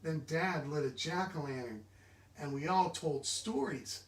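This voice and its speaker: Male book reader, slightly high voice, reading aloud, roomy and distant recording. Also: a little slow. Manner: stumbles over long words. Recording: echoey room, distant microphone.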